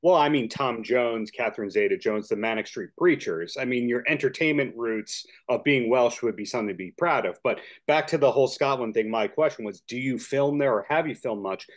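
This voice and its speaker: A man's voice: mid-range voice, brisk, few pauses. A man hosting a podcast interview over a video call, asking long, chatty, joking questions. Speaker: podcast host.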